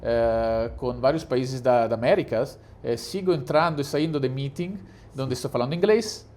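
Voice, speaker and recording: A man's voice: fairly high pitch, a little mellow. A middle-aged Italian man with an Italian accent, a guest in an interview, speaking with many fillers and restarts. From Italy. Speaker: guest, cruise executive. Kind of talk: casual interview talk.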